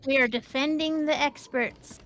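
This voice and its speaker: A woman's voice: sits high, fairly even intonation, normal speed, a bit bright, a little hiss or hum. A woman co-hosting a game stream with casual, conversational talk. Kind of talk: casual gaming chat.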